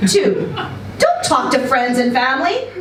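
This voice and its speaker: Echoey venue, woman narrating a short story, highish animated voice, expressive, slightly distant mic. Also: unhurried. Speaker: story reader at a live event. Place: social venue, live reading. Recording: roomy, distant mic, faint noise.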